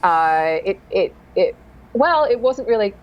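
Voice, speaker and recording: A woman, a dialect coach talking through her work in a relaxed interview, thoughtful and animated with dry self-deprecating humour. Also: mid-range voice, unhurried, highs cut off. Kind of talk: casual interview chat.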